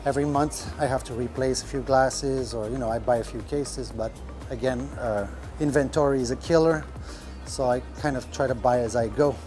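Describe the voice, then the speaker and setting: Man talking shop, halting. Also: medium pitch, darkish tone, soft background music. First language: French. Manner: rambling, many fillers, searches for words. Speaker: restaurant owner. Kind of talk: casual podcast talk. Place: restaurant kitchen.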